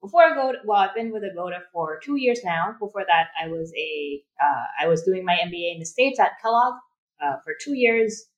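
A woman's voice: mid-range voice, expressive, a little fast, highs cut off. Chatty woman from Thailand, podcast guest. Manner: chatty, self-correcting, friendly. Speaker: guest, project manager. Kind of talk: casual interview.

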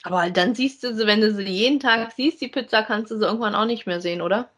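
A woman's voice: mid-range voice, fast, a bit bright. A woman co-hosting a podcast, talking casually and conversationally as she reviews audio dramas with a partner. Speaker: podcast co-host.

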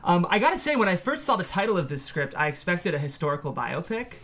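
A man with a fairly high voice hosts a script-reading show from a studio. He presents in an upbeat manner and narrates screenplay action. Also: very animated, fast talker, fairly bright tone. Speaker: host of a script table-read show.

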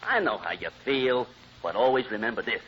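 A man's voice: mid-range voice, animated, a little fast, fairly bright tone, close to an everyday voice. A man playing a smug, meddling divorce lawyer with blustering, theatrical delivery in an old radio comedy. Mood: pushy. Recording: dull, noisy old radio recording.

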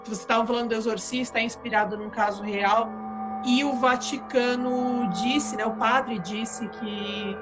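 A woman hosting a casual live chat with channel supporters, telling stories in a conversational way with frequent restarts. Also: a little high. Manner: conversational, hesitant restarts, storytelling. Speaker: channel host.

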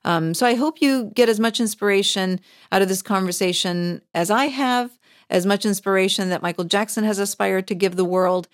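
A woman's voice: mid-range voice, normal speed, no room sound, studio quality. A woman hosting an interview, talking eagerly and chattily to her guest. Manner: enthusiastic, chatty. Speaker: host. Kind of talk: casual interview.

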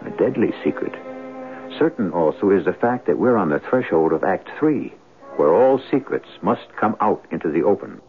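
A man narrating as host of an old-time radio mystery, in a theatrical, ominous and wry, deliberate manner. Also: deep voice, expressive. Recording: narrow-band old radio recording. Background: faint music.

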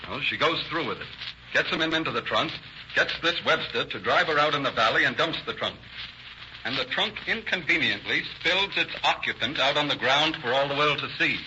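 Probing police inspector, very bright hard-edged voice, deliberate, old radio crime drama, dull noisy recording. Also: male voice, on the high side, lively intonation. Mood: musing, suspicious. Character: shrewd, methodical male police inspector questioning suspects.

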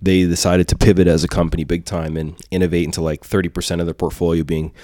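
A man in his thirties telling a casual story as a podcast guest, rambling with many fillers and trailing off quietly. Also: deep voice, level tone, fast, no room sound, clean studio sound. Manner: rambling, lots of fillers, drops to a mutter.

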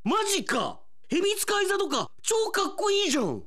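A man playing a brash, lazy young man in a comic radio drama, with loud, blunt, slangy retorts. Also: extremely high pitch, wildly swooping pitch, normal speed, voice pushed up, full character voice. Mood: excited.

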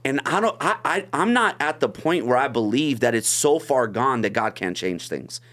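A man's voice: mid-range voice, lively intonation, normal speed, a bit bright. Man, from long beach, earnest, repeats his openings, podcast guest, casual talk. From Long Beach, California. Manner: earnest, repeats words when starting a thought.

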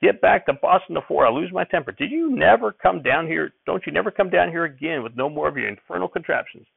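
A man voicing a cantankerous, blustering old grandfather in a story, shouting in rustic dialect. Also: fairly high pitch, animated, fast talker, gravelly, slightly rough, dry recording, narrow, tinny audio, pitched above the performer's own voice, exaggerated voice. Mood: furious.

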